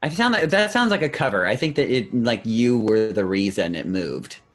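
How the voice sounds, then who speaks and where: A man's voice: mid-range voice, very animated, moderate pace. A man co-hosting a podcast, tossing out playful, sarcastic interjections in casual banter. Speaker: podcast co-host.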